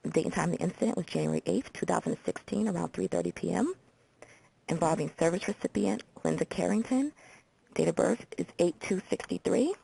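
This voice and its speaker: A woman playing a procedural, businesslike hotline call taker with an American accent and a husky, creaky voice, in a simulated intake call. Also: fairly low pitch, fairly quick, warm tone, exaggerated voice, low-quality recording. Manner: procedural, reads details back methodically. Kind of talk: simulated phone intake interview for training.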